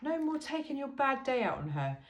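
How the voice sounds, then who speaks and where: A woman's voice: high voice, sing-song, fairly quick. A woman reading a humorous self-help book aloud informally, with a wry, conversational, emphatic delivery. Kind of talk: informal book read-aloud with chatty asides. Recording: roomy, echoey sound.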